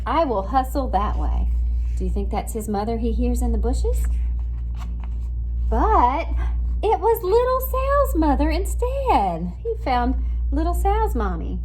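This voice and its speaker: Expressive woman reading to young children, very lively pitch. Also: a little hiss or hum, low-quality recording. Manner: expressive, asks the young listeners questions. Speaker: preschool teacher reading aloud. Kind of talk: animated picture-book read-aloud to young children.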